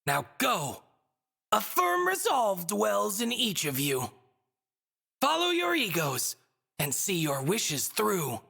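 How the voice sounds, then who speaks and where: A woman's voice: medium pitch, wildly swooping pitch, slow, creaky, fairly bright tone, slightly rough, heavily acted. A woman playing a smug, theatrical stuffed-bunny god in a video game, speaking in mocking, riddling, ornate phrases. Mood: impatient, commanding.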